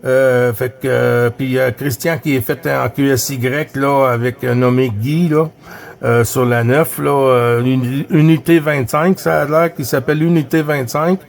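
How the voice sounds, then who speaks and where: A man's voice: medium pitch, steady tone, unhurried, vocal fry. A man with a Quebec French accent chatting casually as a CB radio operator, friendly and repeating phrases and radio codes. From Quebec, Canada.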